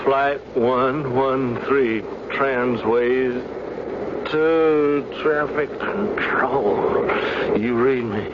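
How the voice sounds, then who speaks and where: A gruff airline captain, old radio drama, muffled with engine noise behind, clipped and exasperated. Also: male voice, mid-range voice, fairly even intonation, drawn-out words. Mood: strained, fading. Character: gruff male airline captain, weary and commanding. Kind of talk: 1970s radio drama acting. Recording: noisy dull old radio broadcast, engine drone.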